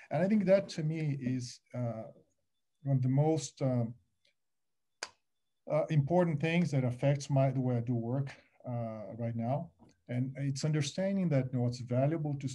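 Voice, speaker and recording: Middle-aged man, warm, mellow tone, loose panel-talk delivery full of "you know", webcam audio. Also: mid-range voice. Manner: animated, gesturing, restarts and many fillers. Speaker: alumni panelist. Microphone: laptop webcam on a video call.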